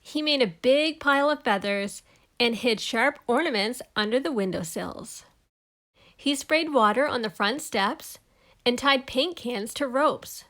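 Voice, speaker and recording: Higher-voiced woman reading a children's story. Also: expressive, measured pace, fairly bright tone. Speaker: storybook reader. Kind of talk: expressive children's read-aloud narration.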